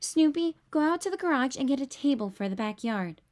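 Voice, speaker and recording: A woman's voice: sits high, animated, brisk, darkish tone. A woman voicing a helpful, earnest young boy in a storybook, encouraging and confident. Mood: upbeat.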